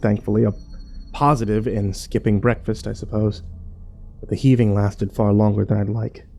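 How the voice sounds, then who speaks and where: A man's voice: on the low side, mellow. A man playing a shaken, bitter survivor who tells his own story in a grim horror audio drama. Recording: music bed under the voice.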